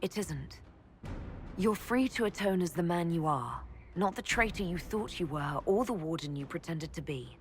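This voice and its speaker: Female actor as elf leader, low-pitched, measured, firm yet tender, serious, video game drama. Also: lively intonation, slight background noise, lightly acted.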